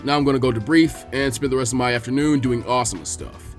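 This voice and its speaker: A man voicing a weary, sardonic adult ninja teacher with a gruff, dry delivery. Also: mid-range voice, fast, soft background music, pitched below the performer's own voice, natural voice. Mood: laid-back.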